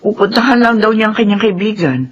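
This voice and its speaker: A woman's voice: medium pitch, fast talker, slightly rough, close to an everyday voice. An actress playing a suspicious, jealous middle-aged mother and wife in a radio drama. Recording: dull, slightly noisy radio sound.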